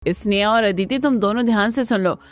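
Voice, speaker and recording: A woman's voice: medium pitch, fairly quick, natural voice. A woman voicing a protective, spirited young sister-in-law with bold, emphatic delivery in a cartoon family melodrama. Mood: threatening.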